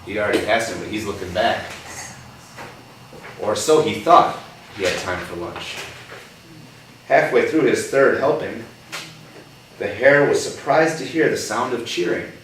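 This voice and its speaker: A man with a slightly low voice reads a children's story aloud, stopping often to coax answers from his young listeners. He sounds patient and encouraging. The recording comes from across a very echoey room, with a noticeable hiss of background noise. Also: measured pace, pauses often, fairly bright tone, distant mic. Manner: patient, coaxing, checks in with "right?". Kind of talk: picture-book read-aloud with questions.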